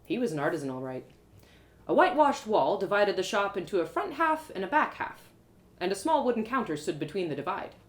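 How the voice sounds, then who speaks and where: Animated woman storyteller. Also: mid-range voice, fairly quick. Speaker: author and storyteller. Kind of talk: expressive live story reading.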